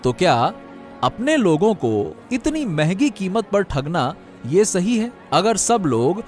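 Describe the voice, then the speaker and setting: A man's voice: high voice, very animated, takes it slowly, a little mellow, soft background music, full character voice. A man voicing an honest, principled poor fish seller in a cartoon story, speaking earnestly with indignation.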